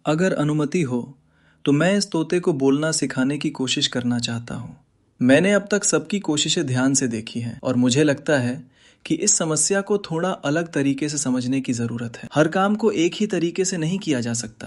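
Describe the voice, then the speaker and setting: Male actor as wise storybook court adviser, lightly raised pitch, polite, deliberate, patient. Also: close to an everyday voice. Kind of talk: scripted children's moral-story cartoon dialogue.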